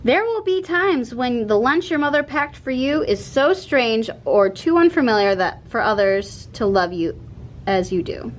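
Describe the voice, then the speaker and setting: A woman with a fairly high voice reads a children's picture book aloud as narrator, with expression for young listeners. There is noticeable noise and a low rumble behind her. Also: lively intonation, unhurried.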